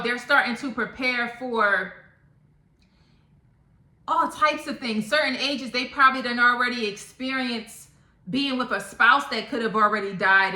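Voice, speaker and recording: A woman's voice: a little high, pushed and bright. A woman in her thirties giving a casual opinion monologue to viewers, blunt and emphatic, often repeating points for emphasis. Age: 30s. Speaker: topic channel vlogger. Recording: echoey room.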